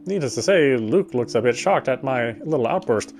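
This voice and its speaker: Medium-pitched man narrating a story game. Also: animated, normal speed, creaky, darkish tone. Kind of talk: first-person story narration, read aloud. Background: faint music.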